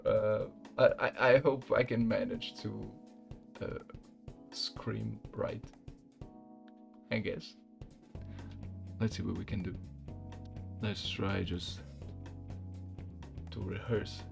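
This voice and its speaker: Slow, gravelly man talking to viewers. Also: on the low side, steady tone, music in the background. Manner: soft-spoken, halting. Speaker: amateur voice actor presenting his challenge video. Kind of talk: casual talk to viewers.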